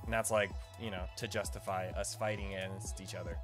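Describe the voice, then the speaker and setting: A man's voice: deep voice, little pitch movement, a little fast. A man in his thirties doing casual, slangy livestream commentary on a game, speaking playfully into a close desk mic. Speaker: video game streamer and guide host. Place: small indoor room, streaming setup. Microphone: close desk mic on boom arm. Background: game music.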